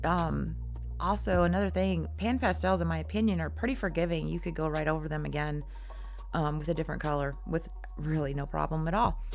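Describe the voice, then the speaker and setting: A woman giving a casual art tutorial, chatty and rambling with many ums and restarts, her voice hoarse and worn. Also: deep voice, fairly even intonation, a little fast, faint music behind. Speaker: art teacher.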